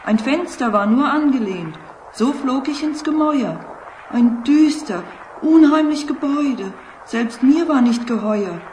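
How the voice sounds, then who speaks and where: A woman playing a magical talking boomerang in a children's radio play, a dutiful servant who speaks in solemn theatrical rhyme. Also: expressive, a little slow, a little mellow. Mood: dramatic, eerie. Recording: echo effect with faint noise.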